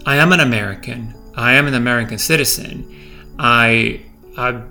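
A middle-aged man with a light Peruvian Spanish accent talks through his thoughts slowly, stopping often and restarting his sentences. His voice is gravelly and bright with some edge. Soft music plays faintly underneath. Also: mid-range voice. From Peru. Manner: hesitant, many fillers and restarts. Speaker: podcast host. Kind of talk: personal reflective monologue.

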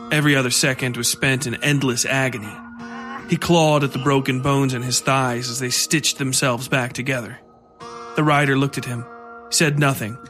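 A man's voice: medium pitch, a bit bright. A man reading scripted horror fiction as narrator, with music playing under his voice.

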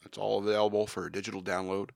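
Deep gravelly podcast host. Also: male voice, level tone, rapid-fire, a little mellow, no echo, clean studio sound. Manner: rambling, jokey, self-promoting. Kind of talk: casual podcast banter.

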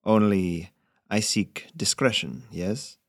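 A man's voice: lowish voice, dry recording, clean studio sound. A man voicing a mysterious robed merchant who speaks formally, with an oddly lyrical lilt. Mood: guarded. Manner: formal, measured, secretive.

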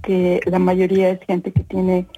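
A woman in her fifties with a Mexican accent, giving conversational interview answers, often pausing and repeating words as she searches for phrasing. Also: on the low side, completely flat delivery, warm tone. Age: 50s. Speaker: interview guest, corporate professional.

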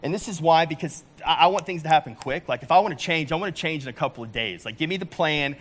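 A man's voice: a little high, quick pace. A man in his forties preaching a sermon in a casual, conversational way, often restarting his sentences. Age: 40s. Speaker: pastor.

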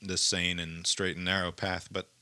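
A man chatting in a reflective, musing manner. His voice sits fairly low but has a bright, forceful edge, and it sounds dry and close, with very little room around it. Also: level tone, moderate pace, dry recording. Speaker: participant in a group conversation. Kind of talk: casual chat.

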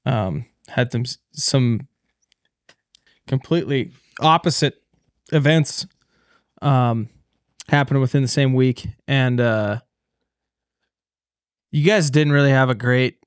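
A man's voice: mid-range voice, slow, long pauses, clean tone, no room sound. Man, podcast co-host, casual chat, teasing, hesitant storytelling, dry close mic.

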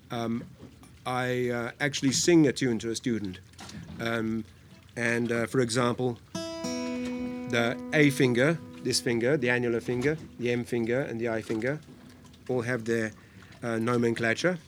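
Relaxed man telling stories. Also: mid-range voice, moderate pace, faint music behind. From England, near London. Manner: relaxed, rambling storyteller. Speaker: guitar teacher. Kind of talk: podcast conversation. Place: on a boat in a harbour.

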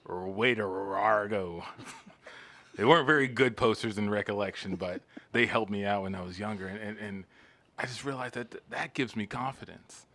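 A man plays a needy adult who states absurd demands with a straight face and drags his words out. His voice is low but bright and forward. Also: moderate pace, no room sound, natural voice. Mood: earnest. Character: needy grown man demanding dinosaur support. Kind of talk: improv comedy scene.

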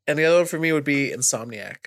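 A man's voice: a little high, racing, fairly bright tone, no echo, studio quality. A man co-hosting a casual podcast, with a nasal, stuffed-up voice and an upbeat, riffing manner. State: stuffy nose. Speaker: podcast co-host.

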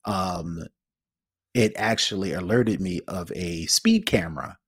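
A man's voice: deep voice, measured pace, husky, no echo, clean studio sound. A man co-hosting a tech podcast in casual chat, explaining things informally. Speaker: podcast co-host.

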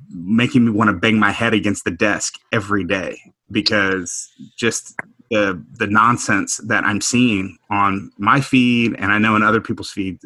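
A middle-aged man from Houston, speaking thoughtfully in a group discussion, halting and restarting as he works through his points. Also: fairly low pitch, bright, forceful tone, rough recording. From Houston, Texas. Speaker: discussion panelist. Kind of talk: reflective conversational discussion.

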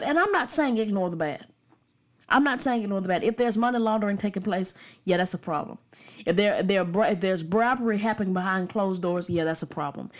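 A young woman from the Birmingham suburbs, giving an earnest, emphatic opinion monologue that repeats its points. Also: medium pitch. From Birmingham, Alabama suburbs.

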